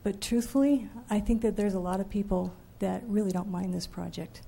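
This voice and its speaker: Woman with a dark, gravelly voice. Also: mid-range voice, steady tone, a little fast. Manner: earnest and hesitant, with repeated ums. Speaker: local resident giving public comment. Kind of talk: public comment speech. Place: council chamber.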